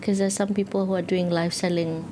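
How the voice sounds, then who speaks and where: A woman's voice: lowish voice, little pitch movement, a little fast, warm tone, a little hiss or hum. Upbeat woman hosting a podcast. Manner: scripted, upbeat introductions with frequent "um" and affirming "mm-hmm". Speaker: podcast host. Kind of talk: hosted podcast conversation.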